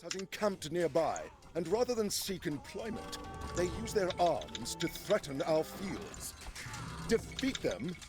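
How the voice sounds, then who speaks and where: An actor playing a defiant, haughty town spokesman in a video game, deliberate and unyielding. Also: on the high side, very animated. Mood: defiant, bargaining. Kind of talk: dramatic video game voice acting. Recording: loud music behind the voice.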